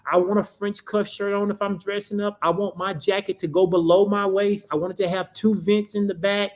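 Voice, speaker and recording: Emphatic preacher man, fairly high voice. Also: level tone, a little fast. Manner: emphatic, repetitive, asks and answers own questions. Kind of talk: motivational sermon.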